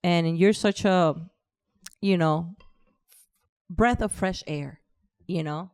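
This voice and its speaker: A woman's voice: fairly low pitch, slow, clean tone. A woman with a Latin American Spanish accent hosting a podcast, chatting casually and heaping praise on her guest. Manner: effusive, warm praise with frequent laughs. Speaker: podcast host. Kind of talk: casual interview chat.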